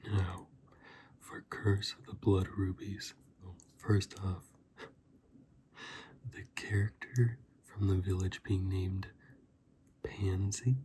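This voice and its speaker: A man giving a softly spoken, often whispered ASMR review in a casual, hushed, breathy voice, repeating phrases for emphasis. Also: sits low, level tone, slow pace, long pauses, noisy background. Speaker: video host and reviewer.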